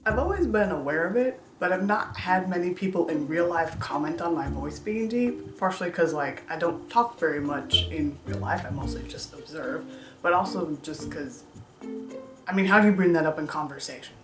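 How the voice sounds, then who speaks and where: Young woman w/ a deep contralto voice, dry jokey vlog talk, kinda far from the mic, roomy echo, music playing. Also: expressive, normal speed. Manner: dry, self-deprecating humor. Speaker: YouTuber. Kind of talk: casual vlog monologue. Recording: echoey room, mic at a distance, music behind.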